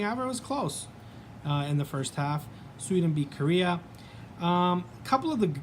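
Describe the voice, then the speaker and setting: A man's voice: on the high side, a little hiss or hum. A man with a Canadian accent hosting a casual sports vlog, chatting in a rambling way with many ums and restarts.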